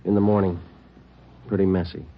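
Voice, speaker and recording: Terse radio cop, real deep flat deadpan delivery, old dull recording. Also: male voice, fairly quick, noisy background. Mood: deadpan, businesslike. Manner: clipped, deadpan, matter-of-fact. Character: terse, stoic male police detective sergeant narrating. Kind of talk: 1950s radio drama narration and dialogue.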